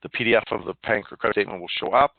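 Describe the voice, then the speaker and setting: A man with a fairly low voice walks listeners through an online software demo. He explains informally and haltingly, and the sound is thin and phone-like, with no room around it. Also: gravelly, no room sound. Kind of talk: live online product demonstration, walkthrough. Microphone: phone or web conference call. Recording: narrow phone-like line.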